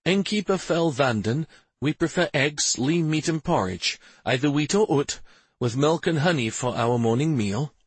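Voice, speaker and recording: A man voicing an angry, commanding prince bent on justice in a fantasy audiobook, speaking forcefully. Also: lively intonation, normal speed, husky, dry recording. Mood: stern, commanding. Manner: forceful, commanding, low intense speech. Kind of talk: fantasy audiobook character dialogue.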